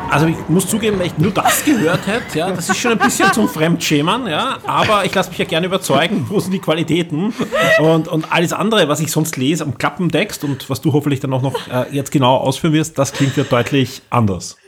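A man's voice: a little high, animated, a little fast, few pauses, very noisy. A man hosting a podcast in casual chat, asking his co-hosts questions. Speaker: podcast host.

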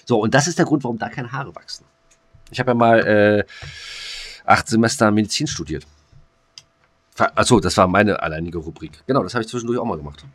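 A man's voice: lowish voice, a little fast, long pauses, no room sound. A man co-hosting a joking podcast chat, rambling with many fillers and restarts. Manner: rambling, full of ums and restarts. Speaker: podcast co-host.

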